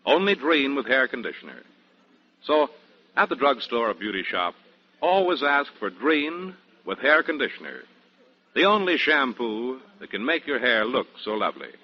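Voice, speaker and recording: A man's voice: medium pitch, sing-song, normal speed. An American man reading a shampoo-style commercial as a 1940s radio announcer. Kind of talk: 1940s radio advertising read. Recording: dull 1940s radio broadcast.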